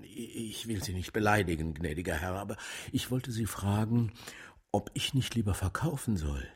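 A humble, ageing male servant in a radio drama. His voice is fairly low and husky, and he speaks with anxious, hesitant deference. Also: lively intonation, moderate pace. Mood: anxious, deferential.

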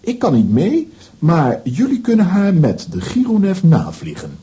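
A man's voice: on the high side, wildly swooping pitch, a little slow, dark tone. A man playing a coaxing, pedantic professor, proud of his science, with theatrical intonation in a children's radio play. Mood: proud explaining.

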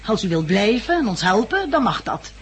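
Actress as a stern, prim community leader, noisy recording. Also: female voice, mid-range voice, very animated, moderate pace, close to an everyday voice. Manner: curt, prim, authoritative. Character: stern, principled woman leading a survivors' community. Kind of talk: 1960s radio drama acting. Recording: noisy old 1960s radio recording.

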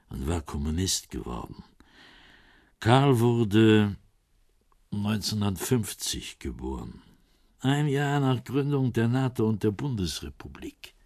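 Older ex east german spy handler, deep voice, swings up and down a lot, sardonic, defensive, radio crime play. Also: male voice, husky, dry recording, clean studio sound, heavily acted. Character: heavyset former East German intelligence officer, defensive, sardonic, worn-down.

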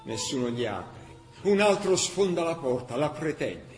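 An actor playing a solemn chronicler of a besieged city in a scripted radio drama. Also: male voice, fairly high pitch, sing-song, measured pace. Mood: tense. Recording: echoey room, clear background noise.

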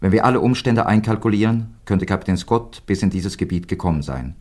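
An actor playing a grave rescue party member, reading out a formal, telegraphic situation report in a radio drama. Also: male voice, lowish voice, lively intonation, brisk, a little mellow. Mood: grave, matter-of-fact. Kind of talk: German radio play acting, clipped report.

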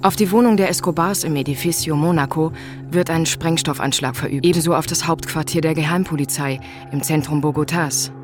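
A narrator reads scripted documentary text in a sober, factual manner. The voice sits at a medium pitch, with music clearly audible underneath. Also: brisk.

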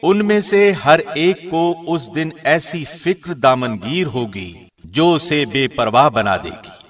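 A man reading a scripture translation as a scripted narration, in a measured, formal way. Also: fairly high pitch, expressive. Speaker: translation narrator, radio host.

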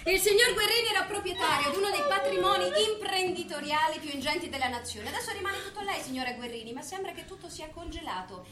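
An actress playing a brisk, formal female television presenter interviewing in a stage play. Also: extremely high pitch, expressive, over a noisy crowd. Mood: formal, probing. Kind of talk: stage theatre acting. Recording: echoey theatre, background noise.

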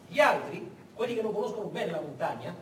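A male stage actor tells a story as a dramatic monologue, posing rhetorical questions with emphatic repetition. His delivery swings widely in pitch. It echoes from some distance, with faint noise. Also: expressive, quick pace. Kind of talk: theatrical narrative monologue.

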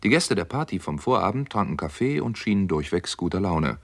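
An actor playing the observant first-person narrator of a 1960s German radio crime story, measured and matter-of-fact. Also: male voice, deep voice, sing-song, gravelly, full character voice. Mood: sober.

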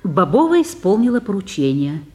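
A woman's voice: medium pitch, big pitch swings, fairly quick, a little mellow. A woman reading scripted stage directions as the narrator of a Russian broadcast drama.

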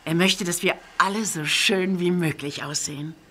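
A woman's voice: on the low side, moderate pace, fairly bright tone, slight background noise, lightly acted. An actress playing a chatty, helpful young female steam engine in a children's audio drama.

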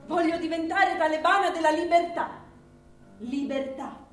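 A woman's voice: very high voice, normal speed. An actress playing a defiant Afghan mother in a stage drama, speaking in an emphatic, challenging way. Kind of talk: theatrical stage drama acting. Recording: echoey distant stage recording with music.

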